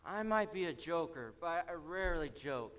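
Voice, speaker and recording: A man as a high-voiced, aggrieved jester in a hall. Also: expressive, normal speed, a bit bright, a little hiss or hum. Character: aggrieved, melodramatic jester who rarely jokes. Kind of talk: stage comedy fairy-tale acting. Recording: echoey stage hall, distant.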